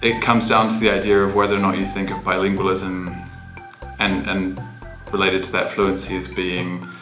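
A young man answers in a very low, flat voice, thoughtful and hesitant. The bright room gives a roomy echo, and music plays underneath. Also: normal speed. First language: English. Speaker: interviewee. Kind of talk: casual interview answers. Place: bright room with large windows. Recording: echoey room, music behind.

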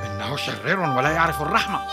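A man playing a blustering, scheming bear in a children's cartoon, excitable, short-tempered and wheedling. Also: fairly high pitch, rapid-fire. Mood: fawning. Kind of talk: children's cartoon dub acting. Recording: loud music under the voice.

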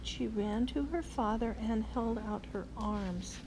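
A woman's voice: fairly high pitch, takes it slowly. An older woman reading a children's storybook aloud as a grandmother, expressively, with exclaimed asides. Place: large indoor lodge room with fireplace. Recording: clearly noisy room, ambient sound.

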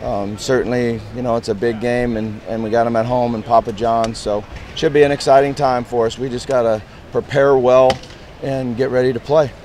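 A man in his fifties, a head football coach, answering reporters outdoors at a stadium in a plain, measured way with lots of "you know" fillers. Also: mid-range voice, fairly even intonation, darkish tone, a little hiss or hum. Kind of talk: post-game press interview.

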